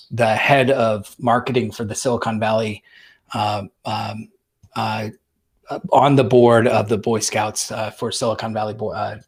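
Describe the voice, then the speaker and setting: A man's voice: lowish voice, slightly rough. Rambling man with many fillers. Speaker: guest. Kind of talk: casual chat.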